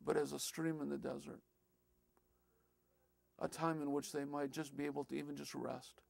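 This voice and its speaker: Man, 50s, chapel leader; reflective interview, gravelly, slightly higher pitch. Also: little pitch movement, normal speed, darkish tone, no echo. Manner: reflective, earnest. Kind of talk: documentary interview. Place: office with shelves of binders. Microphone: lapel.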